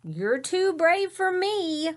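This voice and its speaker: A woman voicing a timid little girl, a quiet younger sister in a storybook, speaking softly and slowly. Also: very high-pitched, big pitch swings, voice pushed up, exaggerated voice.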